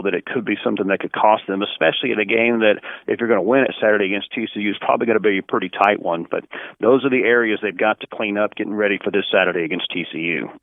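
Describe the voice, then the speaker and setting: A man's voice: on the low side, no echo, phone-quality sound. A man who works as a play-by-play announcer giving detailed, articulate sports commentary as an interview guest, conversationally.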